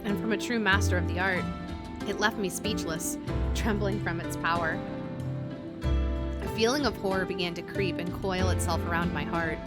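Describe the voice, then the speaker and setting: A woman's voice: fairly low pitch, moderate pace. A woman streamer reading a visual novel aloud and reacting casually, expressively, with some swearing. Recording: game music behind the voice. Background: video game music.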